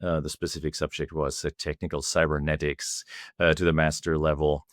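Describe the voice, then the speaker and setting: Man, German accent, middle-aged, very deep voice, measured interview guest. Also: little pitch movement, no echo, studio quality. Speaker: guest, company founder. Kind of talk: interview answers.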